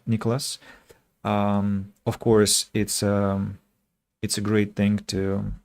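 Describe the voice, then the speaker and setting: A man from Russia with a Russian accent chats casually with viewers as a game streamer, speaking reflectively and pausing mid-sentence. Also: lowish voice, vocal fry, darkish tone, no echo. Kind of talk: casual livestream chat with viewers.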